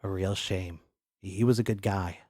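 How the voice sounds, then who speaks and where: Man acting a deep, weary police inspector. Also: steady tone, measured pace, a little mellow, no echo, studio quality.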